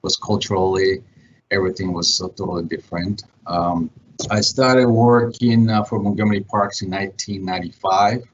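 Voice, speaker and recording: A middle-aged man from El Salvador, a parks manager on a virtual panel, speaking haltingly with many fillers. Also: low-pitched, slight background noise. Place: home or office, remote call. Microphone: video call. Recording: muffled video-call audio.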